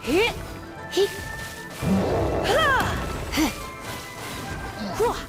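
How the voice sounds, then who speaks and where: Very high-pitched spirited anime game girl. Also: sing-song, extremely slow, heavily acted. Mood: fighting effort. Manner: short exclaimed battle cries and catchphrases. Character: spirited young girl fighters in fantasy game. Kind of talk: anime game battle barks. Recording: game music over the voice.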